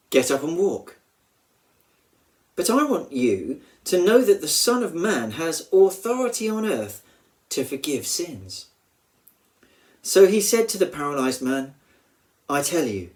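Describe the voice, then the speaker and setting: Slow, expressive man reading scripture. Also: mid-range voice. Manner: measured, expressive, with deliberate pauses. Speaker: church minister reading scripture.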